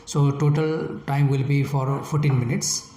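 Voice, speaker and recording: A man's voice: mid-range voice, flat delivery, dark tone. Formal Nepali-accented male examiner, echoey room. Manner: slow and formal, with hesitant fillers. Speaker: IELTS speaking examiner. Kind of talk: scripted exam interview questions. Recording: echoey room with faint noise.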